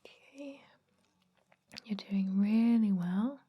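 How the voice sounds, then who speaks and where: A woman playing a gentle, chatty vampire dentist in an ASMR roleplay, whispering slowly and breathily to soothe and confide. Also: mid-range voice, husky, dull audio. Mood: soothing, clinical. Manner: slow, soothing, hesitant, confiding.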